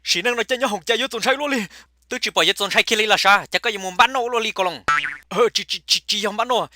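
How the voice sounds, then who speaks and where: A man's voice: high voice, quick pace, sharp tone. A man voicing a talkative male character in a comic cartoon. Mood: chatty. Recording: clear background noise.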